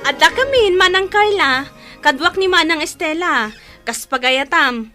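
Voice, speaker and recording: A woman's voice: extremely high pitch, lively intonation, unhurried, pushed and bright, faint music behind, exaggerated voice. An actress playing a respectful younger woman, a careful go-between, in an Ilocano radio drama. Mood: careful, concerned.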